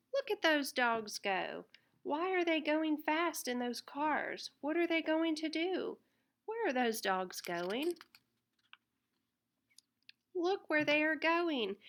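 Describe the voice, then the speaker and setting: A woman reading a children's picture book aloud in an expressive, deliberate way. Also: high voice. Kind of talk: read-aloud children's storybook narration.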